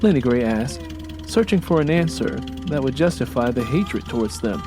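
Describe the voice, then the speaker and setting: A man narrating a scripted audio drama in a measured, dramatic storytelling manner. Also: mid-range voice, lively intonation, music in the background. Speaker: narrator and writer.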